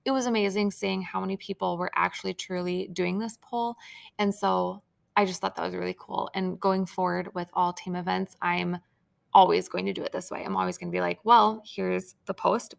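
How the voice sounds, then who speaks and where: Brief: female team leader, chatty and upbeat, strong gravelly fry, slightly scratchy throat. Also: medium pitch, a bit bright. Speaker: team leader of sales consultants. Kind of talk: casual training talk.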